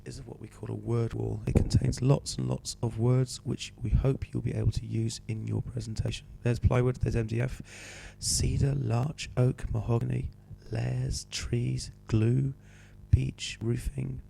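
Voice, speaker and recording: A male teacher with a cold, hoarse and croaky, patiently talking students through a homework sheet. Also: medium pitch, flat delivery, measured pace, hardly any pauses, mellow, a little hiss or hum. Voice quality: croaky, slips into whispery breaks. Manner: patient, explaining step by step. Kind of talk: homework help walkthrough.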